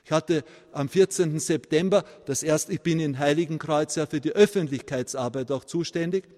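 A Viennese man preaches with animated emphasis, often checking in with his listeners. His voice sits fairly high for a man and rings out in a long church echo. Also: normal speed. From Vienna, Austria. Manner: animated preaching, rising to emphatic peaks, tag questions like "ja?". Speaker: priest. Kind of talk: sermon.

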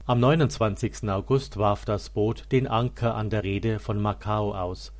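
Male narrator for a religious biography, lower-pitched voice, solemn and measured scripted read. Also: fairly even intonation.

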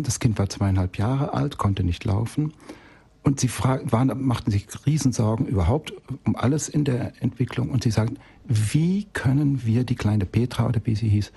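Husky man with a gravelly creak. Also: lowish voice, dark tone. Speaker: expert guest, child-development specialist. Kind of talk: spoken anecdote in a radio interview.

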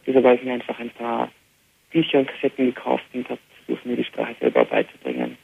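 A young man, a native Arabic speaker with an Austrian German accent, answering a radio interview by phone in an easy manner with many filler pauses. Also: medium pitch, very animated, gravelly, slightly rough. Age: young adult. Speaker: interview guest, band musician. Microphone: telephone. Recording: tinny phone line with faint hiss.

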